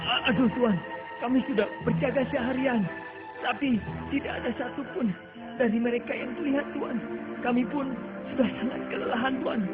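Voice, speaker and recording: A man's voice: very high-pitched, steady tone, fairly quick. A man playing a submissive, anxious underling soldier in a religious cartoon, speaking in a deferential, faltering way. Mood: apologetic. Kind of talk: dubbed religious cartoon acting. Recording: dull lo-fi audio under loud music.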